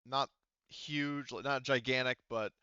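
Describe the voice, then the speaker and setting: A man speaking as game master, improvising tabletop game narration in a casual, halting, rambling way and often hedging. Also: medium pitch, steady tone, bright, forceful tone, smooth, dry recording, lo-fi sound.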